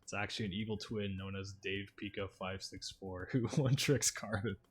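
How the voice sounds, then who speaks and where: A man's voice: lowish voice, fairly even intonation, moderate pace, husky. A man livestreaming a video game, chatting casually with viewers and commenting on play in informal, slangy speech. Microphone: streaming microphone. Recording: music playing behind the voice. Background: music.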